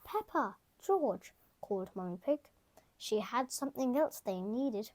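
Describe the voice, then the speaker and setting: A woman reading a children's picture book aloud, gentle and soft-spoken, sometimes dropping to a whisper. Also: a little high, normal speed. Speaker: storybook reader. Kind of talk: children's read-aloud narration.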